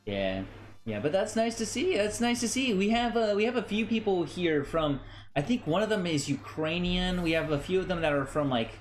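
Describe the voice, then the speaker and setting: A man's voice: high-pitched, moderate pace. Chatty young American man livestreaming, noisy background. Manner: chatty, animated, stammers and repeats phrases. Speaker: livestreamer, VTuber. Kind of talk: casual game stream chat with playful race commentary.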